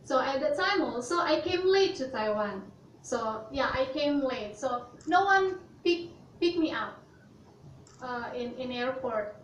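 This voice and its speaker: High-voiced woman in a roomy hall. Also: animated, measured pace, slight background noise. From Indonesia. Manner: hesitant, many fillers and restarts. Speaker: student speaker. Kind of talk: presentation talk. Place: university hall. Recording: roomy, lo-fi sound from a distant mic.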